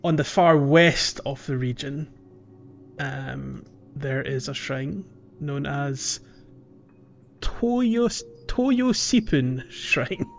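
A man's voice: on the high side, animated, very slow, vocal fry. A man hosting a casual video game playalong podcast, explaining things in a tentative, hesitant way. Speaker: podcast host. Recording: slightly dull audio, music bed. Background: background music.

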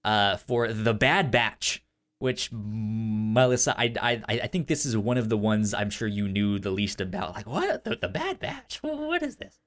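A man with a stammer chatting casually and enthusiastically as a podcast co-host, often halting on repeated sounds. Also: lowish voice, lively intonation, a bit bright. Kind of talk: casual unscripted podcast chat about entertainment news.

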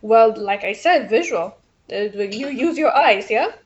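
A young woman from Ethiopia, a civil engineer answering radio interview questions with many pauses and fillers. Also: a little high, expressive, muffled recording.

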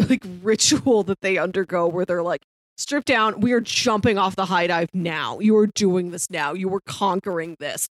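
A woman co-hosts a casual review podcast. She tells things in an animated, chuckly way at an ordinary female pitch. The sound is dry, with little room on it. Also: dry recording. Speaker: podcast co-host.

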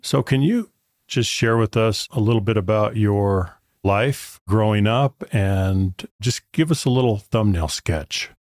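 Host: man, lowish voice, dry close sound, courteous and articulate. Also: a little slow, darkish tone, dry recording. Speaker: podcast host. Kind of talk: podcast introduction and interview.

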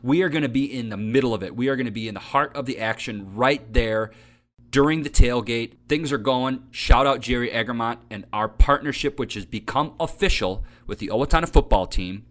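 A man's voice: medium pitch, a little fast. American man hosting an upbeat podcast. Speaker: podcast host. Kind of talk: casual promotional podcast introduction.

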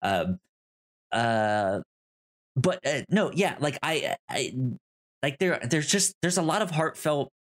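A man co-hosting a podcast, discussing films casually in a halting, rambling way with easy laughter. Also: on the high side, expressive, a bit bright. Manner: rambling, stammering, laughs easily. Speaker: podcast co-host.

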